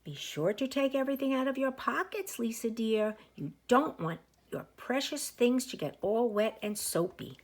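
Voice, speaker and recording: Woman playing a gentle, practical storybook mother. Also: on the high side, animated, normal speed, hardly any pauses, pitched above the performer's own voice. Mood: instructing.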